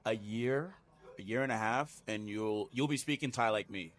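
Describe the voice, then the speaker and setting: A man's voice: lowish voice, normal speed, a bit bright. A man speaking as a guest in a casual chat, easygoing but emphatic about his views. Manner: easygoing, emphatic, frequent "you know". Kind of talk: casual conversation.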